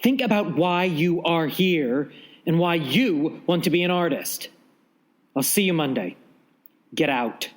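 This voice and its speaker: A man's voice: fairly high pitch, expressive, a little slow. A man playing a harsh veteran art professor in an audio drama, stern, berating and contemptuous. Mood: scornful, angry. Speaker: actor in audio fiction.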